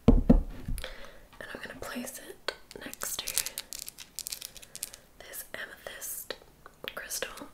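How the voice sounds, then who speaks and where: A woman guiding ASMR relaxation in a breathy whisper, soothing and often repeating her phrases. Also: sits high, rough recording. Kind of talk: ASMR sleep hypnosis.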